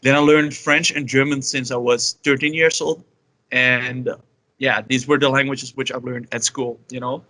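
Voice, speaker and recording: Dutch guy, young adult, loose chatty interview answers full of fillers, bright tone, dry close sound. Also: medium pitch, flat delivery, normal speed, no room sound. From Netherlands. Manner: rambling, many fillers like "you know" and "like". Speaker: guest, language learner.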